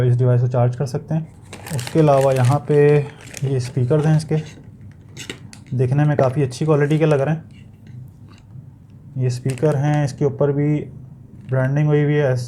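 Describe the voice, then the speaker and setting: A man's voice: mid-range voice, dark tone, a little hiss or hum. A man with a Pakistani accent giving a casual, explanatory product review, feeling for his words and sometimes repeating them, as in an unboxing video.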